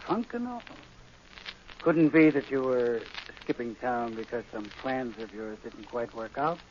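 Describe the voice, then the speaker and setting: An actor playing a cold, menacing crooked doctor in a 1940s radio drama, deliberate and quietly threatening. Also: male voice, mid-range voice, expressive, natural voice. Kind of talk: 1940s American radio acting. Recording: dull, hissy 1940s radio recording.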